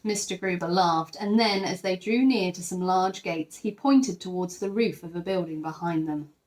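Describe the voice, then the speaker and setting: A woman teacher sharing a children's picture book, expressive and enthusiastic, with chatty asides. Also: fairly low pitch, moderate pace, low-quality recording. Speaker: teacher reading to pupils. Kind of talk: children's storybook read-aloud with chatty asides.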